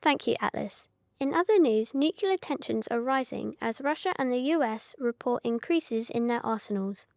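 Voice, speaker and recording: A polished young news anchor, played by a woman, with a high voice reads formally in a radio drama. The sound is dry and studio-close. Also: expressive, moderate pace, creaky, a little mellow, dry recording. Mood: composed. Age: young adult. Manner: formal, composed newsreading.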